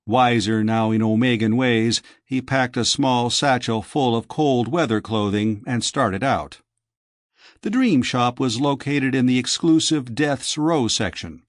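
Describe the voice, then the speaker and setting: A man's voice: mid-range voice, measured pace, dry recording, clean studio sound. A middle-aged man reading science fiction as an audiobook narrator, in a scripted storytelling style.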